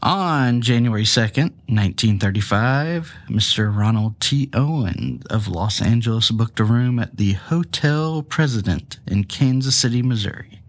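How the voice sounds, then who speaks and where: A man hosting a true crime podcast, sounding stuffy from a cold, telling the case with casual, jokey asides. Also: mid-range voice. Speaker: podcast host.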